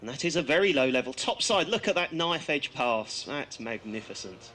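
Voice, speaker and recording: A man giving live, unscripted commentary on flying displays, appreciative and measured in his phrasing. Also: mid-range voice, sing-song, bright, forceful tone, slight background noise. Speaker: airshow commentator.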